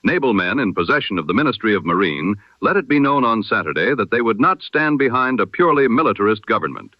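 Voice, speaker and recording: Lively formal man reading radio news. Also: fairly low pitch, dry recording, highs cut off. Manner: measured, formal newsreader delivery. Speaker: news reader. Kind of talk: scripted 1920s radio bulletin narration.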